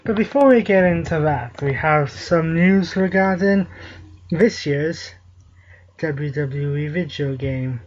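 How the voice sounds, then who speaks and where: A man's voice: a little high, takes it slowly, lo-fi sound. A man co-hosting a wrestling talk show in casual chat, making jokey wordplay with names.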